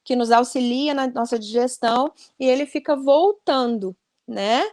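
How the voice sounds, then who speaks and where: A woman's voice: high voice, normal speed, a little mellow, no echo, clean studio sound. A woman speech therapist giving an earnest explanatory talk on a video call, often adding "né?". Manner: earnest and explanatory, many "né?" tags.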